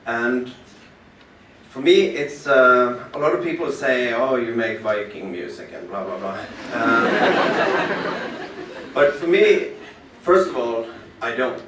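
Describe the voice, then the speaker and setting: A Norwegian-accented man speaks from a stage. He talks slowly and deliberately, pausing and restarting as he thinks. The sound is caught from far off in a roomy venue, with faint noise around it. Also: mid-range voice, expressive. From western Norway. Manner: halting and thoughtful, many pauses and restarts. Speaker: musician introducing his work on stage. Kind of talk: unscripted stage talk to a concert audience. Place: concert club venue. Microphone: stage microphone through the house speakers, recorded from the audience.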